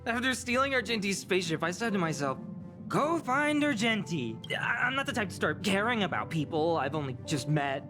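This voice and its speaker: A woman's voice: mid-range voice, very animated, fairly quick, lightly acted. A woman voicing a prickly, flustered young game heroine who secretly cares, huffy and stammering, with music behind. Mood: uneasy, puzzled. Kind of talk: animated video game voice acting.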